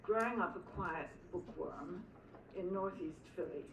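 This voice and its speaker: An older woman from Philadelphia with some English traces in her accent gives an acceptance speech in a low voice. She speaks in a reflective way with small hesitations. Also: a little slow, fairly bright tone, slight background noise. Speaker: honoree giving acceptance speech. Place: school hall at an awards ceremony. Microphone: podium microphone. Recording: echoey hall, distant mic.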